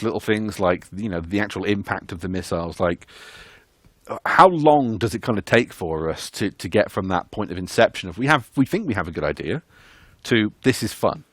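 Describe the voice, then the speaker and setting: A man with a fairly low voice hosts a casual developer panel. He asks long, winding questions in a conversational way with upbeat wording. The recording is dry and close. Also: no echo. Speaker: host, interviewer.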